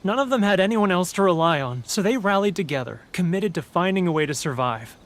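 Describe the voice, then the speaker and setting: A man's voice: high voice, lively intonation, fairly quick. An actor playing a homesick, guilt-ridden male merchant in a game, mournful and prone to stammering. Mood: gloomy. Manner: mournful, stammering, self-pitying. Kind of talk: video game cutscene dialogue.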